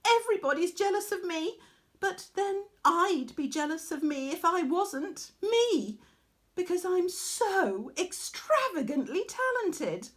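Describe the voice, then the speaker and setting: A woman's voice: extremely high pitch, sing-song, takes it slowly, a bit bright, voice pushed up, exaggerated voice. A woman voicing an arrogant young weaver in a storybook, gushing and haughty about herself. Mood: boastful, gushing. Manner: gushing, self-admiring, haughty. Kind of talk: storybook character voice.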